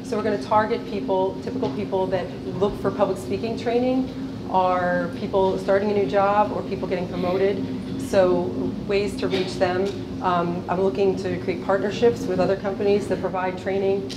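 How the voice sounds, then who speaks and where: A woman giving an earnest, businesslike pitch on stage at a live event. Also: flat delivery, moderate pace. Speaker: pitch contestant. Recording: echoey hall with loud background noise.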